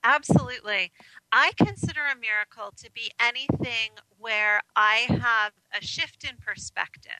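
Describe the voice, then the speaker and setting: A woman's voice: a little high, moderate pace, bright, forceful tone, no echo, rough recording. A woman with an American accent giving friendly, reflective, conversational answers as a radio guest. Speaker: radio guest, life coach.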